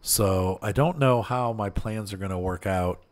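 Man, lowish voice, relaxed rambling gamer chat, chuckles between thoughts. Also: unhurried. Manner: rambling, easygoing, chatty with viewers, laughs often. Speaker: streamer playing a farming game. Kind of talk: casual gameplay chat.

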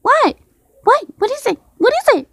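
A woman voicing an excitable, sweet small boy who blurts things out in a childlike way for an amateur comic dub. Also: extremely high pitch, moderate pace, dry recording, clean studio sound, voice pushed up, full character voice. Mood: gleeful, curious.

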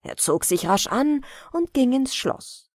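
Slow, high-voiced woman storyteller. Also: very animated, no room sound, clean studio sound. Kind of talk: scripted bedtime fairy-tale narration.

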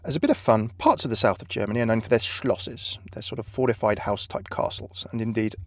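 A man's voice: lowish voice, quick pace, no room sound. A man hosting a video with scripted narration, recorded as a close voice-over. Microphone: close dry voice-over microphone.

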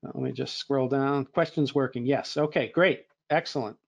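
A man moderating an online training webinar, slightly hoarse, talking in a relaxed, conversational way with fillers and restarts. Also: mid-range voice, moderate pace, no room sound.

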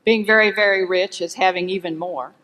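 A shareholder asking a question from the audience, phrasing it slowly and deliberately. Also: medium pitch, steady tone, bright, forceful tone, big-room sound, low-quality recording.

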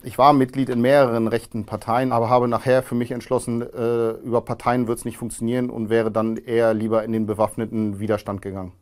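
A man in his thirties, a guest in a studio interview, answering in a calm, measured way with frequent hesitations. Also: medium pitch. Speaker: interview guest, former neo-Nazi. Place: studio with grey backdrop.

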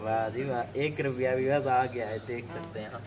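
A man's voice: medium pitch, steady tone, moderate pace, noisy background. A young man from Pakistan hosting a casual village vlog outdoors, chatty and laughing often as he talks to his viewers. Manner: chatty, laughs often, keeps saying "okay". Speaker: vlog host.

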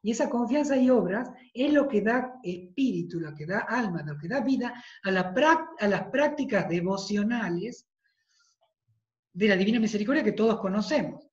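A man in his thirties with a Tucumán Argentine accent, a priest giving an emphatic devotional talk over a video call, repeating phrases for weight and clearing a tired throat. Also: high voice, lively intonation, normal speed. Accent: Tucumán Argentine Spanish. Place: study with bookshelves, indoors. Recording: roomy, dull video-call audio.

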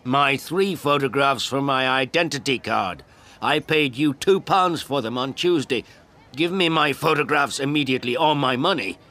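A man's voice: medium pitch, moderate pace, pushed and bright, voice pushed up. A man voicing a stubborn old village farmer in a story, insistent and plain-spoken. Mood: angry, demanding.

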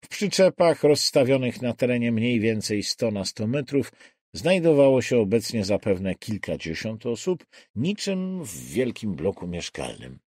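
A man's voice: medium pitch, sing-song, measured pace, a little mellow, dry recording, clean studio sound. A man narrating a thriller audiobook in a dramatic, scripted reading style.

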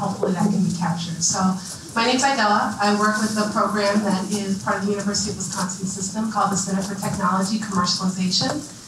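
A woman business development consultant introducing her program on a panel in a measured, friendly way. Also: medium pitch. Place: large conference hall with audience. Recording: echoey hall from far off, room noise.

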